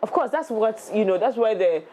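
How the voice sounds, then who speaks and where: A woman co-hosting a radio show with a Ghanaian English accent, talking in a blunt, teasing, animated way. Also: a little high, fairly quick, a little hiss or hum. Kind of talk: casual chat. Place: radio studio.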